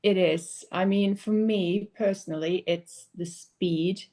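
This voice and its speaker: A young woman from Norway chats easily as a podcast guest, with a relaxed, unhurried way of talking. She laughs readily and often restarts a sentence before finishing her thought. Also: fairly low pitch. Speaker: guest, powerboat racer. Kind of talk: casual podcast interview.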